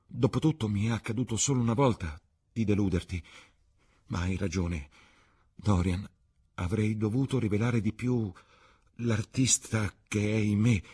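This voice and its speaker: Male reader as young actress, raised pitch, husky, breathless, imploring, tender, desperate, audiobook drama. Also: normal speed, warm tone. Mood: pleading, distraught.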